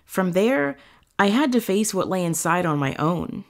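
Woman playing an earnest witch with a creaky voice. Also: medium pitch, big pitch swings, a little slow. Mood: hushed, reflective. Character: earnest young witch fondly recounting meeting her familiar. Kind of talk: audio fiction monologue, storytelling.

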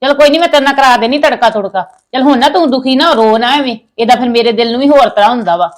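A woman's voice: sits high, fast talker, lightly acted. A woman playing a married sister-in-law who coaxes, lectures and gives orders. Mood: bossy. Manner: coaxing, lecturing. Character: married sister-in-law, soothing yet bossy. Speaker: actor in a family skit.

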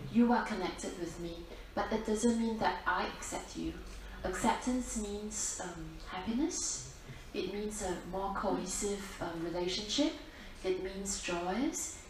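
A woman giving a dharma talk to a group, speaking deliberately, repeating herself and putting questions to her listeners. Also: medium pitch. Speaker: Buddhist nun, teacher. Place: library-like meeting room, talk to an audience. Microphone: distant camera at back of room. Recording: echoey room, distant mic, faint noise.

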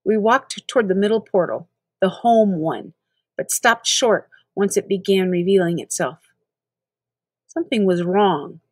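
A woman's voice: mid-range voice, unhurried, dry recording. An older woman with an American accent reading a children's novel aloud expressively. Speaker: storybook reader.

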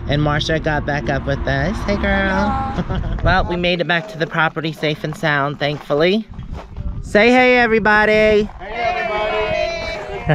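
A woman in her forties chatting casually to a handheld camera while driving and walking outdoors. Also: sits low. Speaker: RV vlogger. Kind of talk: casual vlog chat. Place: outdoors and in a truck. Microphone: camera at arm's length. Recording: muffled with loud road noise.

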